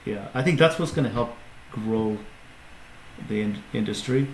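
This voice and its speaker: A man with a low, gravelly voice explains his company as a podcast guest, stopping and restarting his sentences. The room is echoey, with faint ambient noise behind him. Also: a little slow. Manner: explanatory, many restarts and fillers. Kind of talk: casual interview talk.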